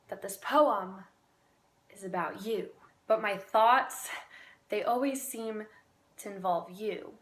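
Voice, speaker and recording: A woman amateur poet recites spoken-word slam poetry in an earnest, deliberate manner with dramatic pauses. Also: a little high.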